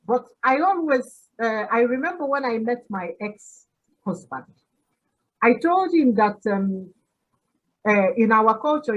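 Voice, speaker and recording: A Nigerian woman in her sixties, an Igbo speaker, telling her story as a podcast guest with frequent pauses and laughter. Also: medium pitch, muffled recording. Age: 60s. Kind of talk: reflective interview answer.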